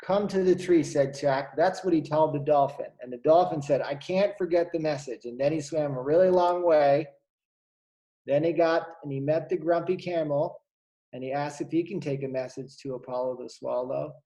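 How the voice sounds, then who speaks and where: A man teaching kindergarten, reading a storybook aloud to young children and asking them questions in an animated, encouraging way. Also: on the high side, normal speed, poor audio.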